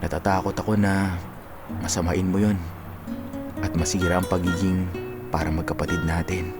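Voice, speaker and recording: A man's voice: low-pitched, flat delivery, moderate pace. A Filipino actor in a radio drama playing an earnest, playful young man in his twenties who is in love. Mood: tender, confessing. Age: 20s. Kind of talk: Tagalog radio drama acting. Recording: music behind the dialogue.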